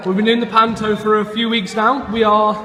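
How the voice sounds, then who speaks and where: High-voiced man interviewing in an echoey hall. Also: level tone, a little fast, pushed and bright. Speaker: interviewer and documentary maker. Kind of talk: casual interviewing.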